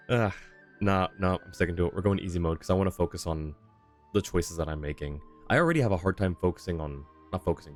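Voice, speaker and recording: A man doing casual livestream commentary on a video game, rambling and deliberating aloud as he goes. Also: low voice. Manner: rambling, deliberating, self-correcting. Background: soft game music.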